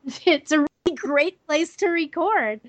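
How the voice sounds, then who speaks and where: A woman's voice: high voice, expressive, measured pace, no echo. A middle-aged woman voice actress, speaking casually in an interview from her own office over an online call, with a youthful, kid-like natural voice. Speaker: voice actress guest. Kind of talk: conversational interview.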